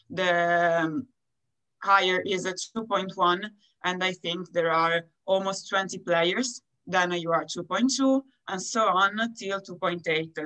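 A young woman from Italy with an Italian accent, a college athlete answering interview questions haltingly as she searches for words in her second language. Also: mid-range voice, fairly bright tone, low-quality recording. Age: young adult. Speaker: college tennis player being interviewed.

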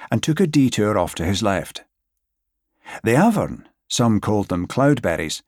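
Low-pitched man narrating an audiobook. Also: no room sound, studio quality. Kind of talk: scripted literary narration.

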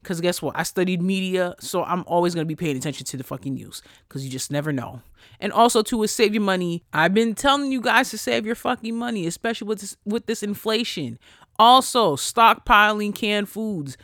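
A man with a high voice hosts a casual solo podcast, talking into a close mic in a dry, deadened space. He rants in an animated, profane way, swinging between loud bursts and quiet asides. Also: brisk, no echo. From Haitian family. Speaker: podcast host.

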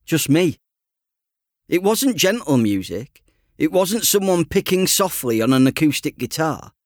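A man reading an audiobook in the first person as a teenage boy, conversational, self-deprecating and expressive. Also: fairly high pitch, a little slow, no echo, studio quality. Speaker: author reading his own audiobook.

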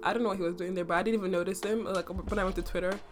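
A young adult woman hosting a casual solo podcast, her voice slightly raspy from a cough, chatty and full of fillers with playful boasting. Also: mid-range voice, fairly even intonation, racing, music in the background. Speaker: podcast host.

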